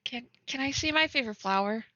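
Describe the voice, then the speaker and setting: A young woman bantering casually with a friend on a livestream, giggly, excitable and teasing. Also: high-pitched, fast, highs cut off, a little hiss or hum. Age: young adult. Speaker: streamer.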